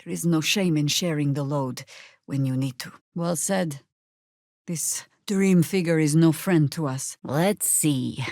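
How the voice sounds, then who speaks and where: World-weary older druid warrior, lowish pitch, unhurried, dry sardonic wit, commanding, close dry game audio. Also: female voice, warm tone, no echo. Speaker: voice actor in a video game. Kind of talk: scripted video game character lines.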